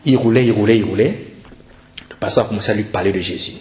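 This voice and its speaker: A man's voice: fairly low pitch, fast. A man preaching a church sermon as a pastor, telling stories and exhorting with emphatic repetition. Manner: animated storytelling with repetition for emphasis. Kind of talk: Sunday church sermon, storytelling exhortation and call to prayer. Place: indoor church service. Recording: roomy church sound with music.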